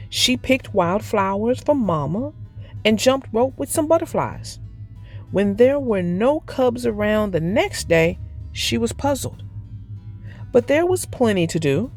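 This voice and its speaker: Woman reading a kids book out loud, super animated, slow and deliberate, bit of music behind. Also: fairly high pitch. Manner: expressive, deliberate storytelling. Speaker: storybook narrator. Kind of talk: children's read-aloud narration.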